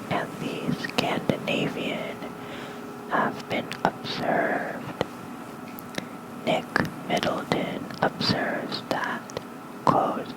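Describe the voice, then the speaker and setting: An ASMR host reading lore in a soft, breathy whisper into a close microphone, unhurried and halting, with informal asides. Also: low-quality recording. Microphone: close fluffy-covered ASMR microphone.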